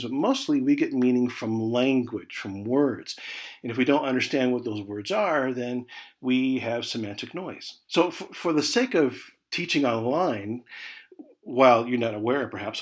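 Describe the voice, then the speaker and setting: An American man with a mid-pitched voice teaches an online college class. He talks informally, as if explaining directly to students. He leans on important words, then lets his phrases drop away softly. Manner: conversational, emphatic key words trailing off into soft check-ins like "okay". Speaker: college communication instructor.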